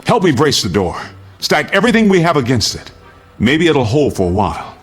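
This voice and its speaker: A man's voice: fairly high pitch, big pitch swings, brisk, breathy edge, faint music behind. Grave family man with sweeping intonation. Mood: urgent. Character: weary, grave father barricading his family's shelter. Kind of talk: radio drama acting.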